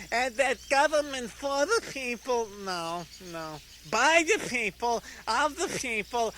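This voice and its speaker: A man playing a doddering, forgetful Abraham Lincoln in comic radio theater, giving a halting, flustered speech full of throat-clearing. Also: very high voice, bright, forceful tone, slight background noise, exaggerated voice, rough recording.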